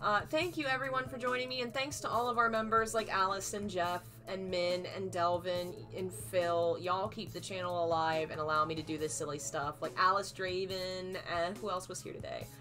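Slightly hoarse woman reading aloud. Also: fairly even intonation, normal speed, hardly any pauses, bright, forceful tone, faint music behind. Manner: acts out dialogue with raised pitch for frightened lines. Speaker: streamer reading a novel aloud. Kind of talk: live book reading, then casual sign-off. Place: small home room, indoors.

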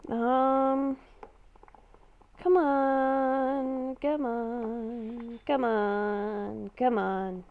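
A woman's voice: a little high, fairly even intonation, very slow, gravelly, pauses often. A woman improvising in-character narration while playing a game, thinking aloud and repeating her phrases. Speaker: gamer playing a roleplay character. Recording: dull, lo-fi microphone.

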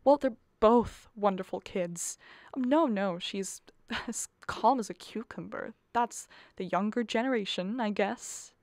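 A woman's voice: mid-range voice, unhurried, a little mellow, no room sound, close to an everyday voice. A woman playing a nervous, harassed middle-aged mother of the bride in a radio play, pleading and exasperated. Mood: forced cheer.

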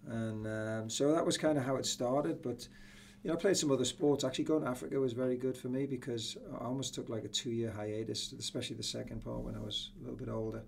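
A middle-aged man with an English accent, reminiscing casually as an interview guest, often pausing with you-knows. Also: mid-range voice, fairly even intonation, vocal fry, slight background noise. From Newcastle, England. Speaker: soccer coach, interview guest. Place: small indoor room with acoustic panels. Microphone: podcast boom microphone.

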